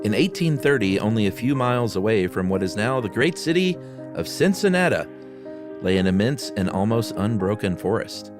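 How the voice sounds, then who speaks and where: A man's voice: fairly low pitch, animated, moderate pace, music in the background. A man hosting a podcast, moving between casual chat and dramatic reading of a spooky story. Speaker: podcast host.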